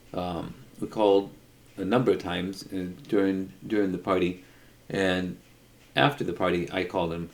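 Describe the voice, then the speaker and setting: A middle-aged man telling an unscripted personal story in a halting way, often repeating words as he goes. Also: deep voice. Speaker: storyteller, video creator.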